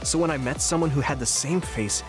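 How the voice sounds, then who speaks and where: A man's voice: a little high, fairly quick, over music, close to an everyday voice. An actor voicing an earnest, kind young male soldier in an anime game, sincere and a little hesitant. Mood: friendly, sincere. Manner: sincere, hesitant, easily flustered.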